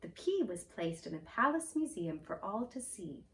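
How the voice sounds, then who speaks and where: A woman in costume reading a fairy tale aloud in a gentle, expressive storytelling voice. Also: mid-range voice, moderate pace. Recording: roomy echo, mic at a distance.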